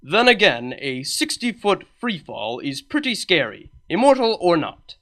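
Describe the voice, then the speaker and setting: A man reading a comedic fan story aloud, narrating with a wry, deadpan touch. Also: sits high, unhurried. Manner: wry, deadpan delivery with lively emphasis. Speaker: fan fiction reader.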